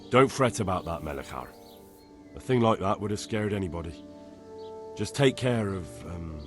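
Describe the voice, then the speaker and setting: An actor playing an earnest young man in a video game, calmly reassuring people as he brings help. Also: low-pitched, moderate pace, music in the background. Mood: comforting. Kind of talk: dramatic video game dialogue.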